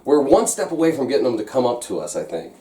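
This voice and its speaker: A man's voice: medium pitch, sing-song, quick pace, mellow. A man co-hosting a vlog, chatting casually to viewers in a rambling, joking way. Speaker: vlog co-host.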